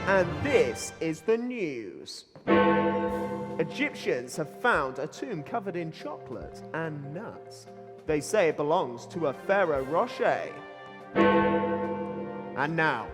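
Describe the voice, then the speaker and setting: A man's voice: high voice, heavily acted. A man playing a cheesy news anchor, presenting a scripted mock newsreel in an announcerly, punning style. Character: cheesy male newsreader anchor delivering dad-joke bulletins. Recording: long hall reverb, loud music bed.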